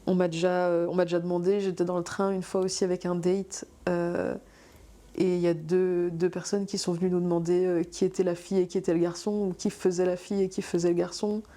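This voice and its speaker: A young woman giving a personal testimony, halting and thoughtful, with many fillers. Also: fairly low pitch, level tone, dark tone. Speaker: interviewee.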